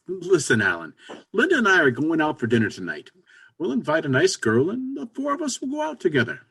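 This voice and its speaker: Male actor as brisk, pushy businessman pal for stage comedy; husky voice, wildly swooping intonation, exasperated. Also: on the high side, huge pitch swings, a bit bright, full character voice. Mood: coaxing, upbeat. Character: brisk, pragmatic businessman friend, impatient but encouraging. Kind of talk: stage comedy readers theater.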